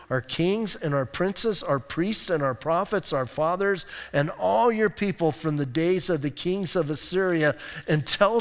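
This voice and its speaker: A male pastor preaching a conversational, emphatic sermon. He asks rhetorical questions and reads scripture slowly and deliberately. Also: a little high, a bit bright. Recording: reverberant hall sound.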